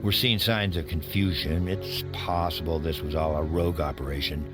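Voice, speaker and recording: Very deep-voiced formal government aide. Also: male voice, fairly even intonation, moderate pace. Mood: cautious relief. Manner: restrained, formal. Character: sober government aide reporting to the president. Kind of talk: dramatic game cutscene dialogue. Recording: loud cinematic music behind.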